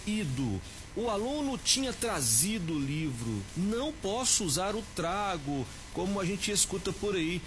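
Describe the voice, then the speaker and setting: A man's voice: sits high, fairly bright tone. Man explaining grammar on radio. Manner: explanatory, addresses listeners as "gente". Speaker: Portuguese language teacher. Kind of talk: radio grammar tip segment.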